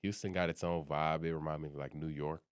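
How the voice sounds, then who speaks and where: A man's voice: a bass voice, fairly even intonation, fast talker, clean tone, no echo, clean studio sound. A young man from South Sudan, a podcast guest, chatting casually and loosely, with lots of "bro" and "you know what I mean".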